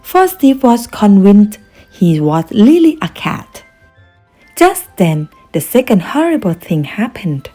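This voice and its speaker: Woman narrator, children's storybook reading, expressive swooping pitch, slightly husky, dramatic and deliberate, faint music behind.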